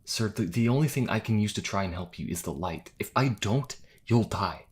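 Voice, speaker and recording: A man playing an earnest, anxious young priest healer in an audio drama, speaking in a halting, hesitant way. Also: fairly low pitch, lively intonation, breathy edge. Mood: alarmed pleading. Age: young adult.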